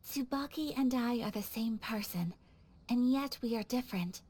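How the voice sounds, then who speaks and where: An actress playing a courteous, gentle young noblewoman in an anime game, speaking in polite, formal, measured phrases. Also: a little high, slightly rough.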